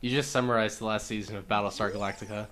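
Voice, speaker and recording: A man's voice: on the low side, fairly even intonation, rapid-fire, fairly bright tone. A man co-commentating on a video game in a casual chat, tossing in wry, dry asides. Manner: wry, dry quips and pop culture asides. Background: video game music.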